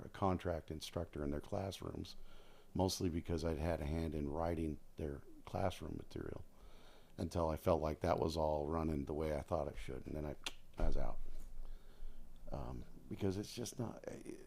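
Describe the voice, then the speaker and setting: Deep-voiced older American man telling stories. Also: normal speed, creaky, a little mellow. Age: 60s. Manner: emphatic, repeats phrases for stress. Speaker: podcast guest, retired air traffic controller. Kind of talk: casual conversational storytelling.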